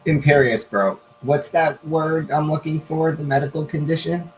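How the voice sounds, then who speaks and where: A man's voice: a little high, normal speed, a little hiss or hum, low-quality recording. A man casually questioning others in a debate, skeptical and searching for his words.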